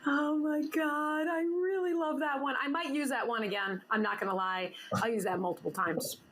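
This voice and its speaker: A woman's voice: high-pitched, a bit bright, slight background noise. Bubbly teasing woman hosting a chat. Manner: bubbly, teasing, quick to laugh. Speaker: podcast host. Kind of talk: casual interview chat.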